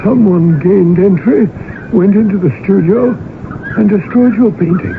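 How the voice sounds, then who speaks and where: Crackly, narrow old radio sound frames a proper manservant. He speaks in a high, creaky voice, formal and deferential, with fussy precision suited to a period radio play. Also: unhurried. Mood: concerned. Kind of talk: old-time radio drama acting. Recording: noisy, narrow-band old radio broadcast recording.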